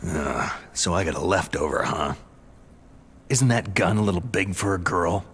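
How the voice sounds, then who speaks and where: A man playing a hardened special-forces veteran in a video game, gruff, stern and terse. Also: low voice, lively intonation, normal speed, full character voice. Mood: dry, terse. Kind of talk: dramatic video game cutscene acting. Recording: loud music behind studio voice.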